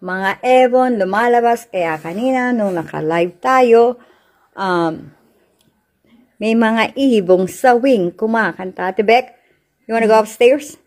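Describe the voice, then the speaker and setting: A woman with a Filipino accent leads a relaxed house tour, her voice pitched a little high. She speaks slowly and playfully, mixing Filipino and English, filmed on a handheld phone indoors. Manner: playful, mixes Filipino and English, slow drawn-out phrasing. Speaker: vlogger giving a house tour. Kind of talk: casual chatty house-tour narration. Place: indoors in a house, walking room to room. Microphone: handheld phone camera.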